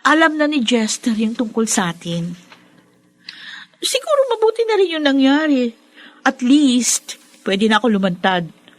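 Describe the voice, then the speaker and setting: An actress playing a candid, breezy young daughter in a radio drama. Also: female voice, sits high, very animated, measured pace, low-quality recording. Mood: breezy, relieved.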